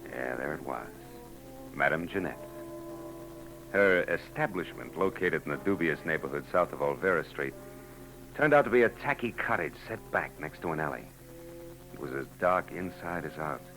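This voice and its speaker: Hard-boiled detective, wry, dry delivery, old radio recording with music underneath. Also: male voice, a bass voice, expressive, moderate pace, pauses often, low-quality recording. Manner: wry, dry, world-weary. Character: hard-boiled male private eye. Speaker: narrator and lead. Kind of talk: 1940s radio acting.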